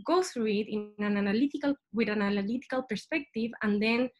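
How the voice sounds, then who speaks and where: A woman's voice: a little high, a little fast, a little mellow. A young woman from Chile with a Chilean Spanish accent, giving an informal science presentation over a video call as a PhD student. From Santiago, Chile. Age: young adult. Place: small indoor room at home. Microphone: webcam video call.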